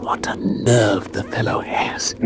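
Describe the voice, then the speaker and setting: An actor playing a calculating, authoritative detective, deliberate and analytical, in a radio drama. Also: male voice, fairly low pitch, level tone, whispered. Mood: hushed, tense. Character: calculating, keen male detective, intent and authoritative. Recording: clearly noisy radio drama track.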